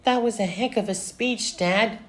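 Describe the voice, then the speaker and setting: A man's voice: sits high, measured pace, fairly bright tone, voice pushed up. A man voicing a spirited, confident young woman, a coach's daughter in a story. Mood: proud.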